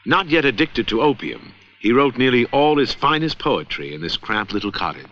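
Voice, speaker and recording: A man's voice: medium pitch, sing-song, moderate pace, a bit bright. A man giving scripted narration for an educational film. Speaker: documentary narrator. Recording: dull old 16mm film soundtrack.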